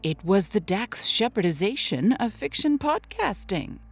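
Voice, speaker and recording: A woman's voice: mid-range voice, big pitch swings, measured pace, soft background music. A woman playing a solemn college professor interviewed as an expert in a mock documentary audio drama.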